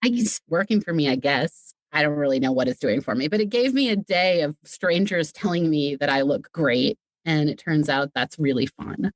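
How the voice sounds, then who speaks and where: A woman's voice: low voice, moderate pace, few pauses, dry recording, rough recording. A woman chatting casually as a podcast guest, playful and self-deprecating, wandering off into wordy asides. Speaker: podcast guest, audio fiction creator.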